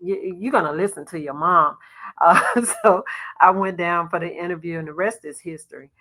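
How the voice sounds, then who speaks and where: A middle-aged woman from Arkansas, a basketball coach, telling stories in a relaxed podcast interview with a soft voice and lots of "you know". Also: on the low side, moderate pace, low-quality recording. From Little Rock, Arkansas. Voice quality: soft-spoken. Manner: storytelling, warm laughs, frequent "you know". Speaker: associate head basketball coach, guest.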